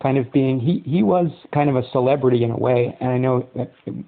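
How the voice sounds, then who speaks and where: A man interviewing a guest by phone, asking questions in a measured, conversational way. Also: medium pitch. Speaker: interviewer, channel host. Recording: tinny phone line.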